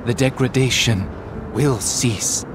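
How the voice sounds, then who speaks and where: An actor playing a calm, theatrical villain in a video game, reciting prophecy in a solemn, poetic way. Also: male voice, mid-range voice, heavy background noise, lightly acted. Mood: cold, menacing. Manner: slow, solemn poetic delivery. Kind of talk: dramatic video game voice acting. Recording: orchestral game music behind voice. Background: game music.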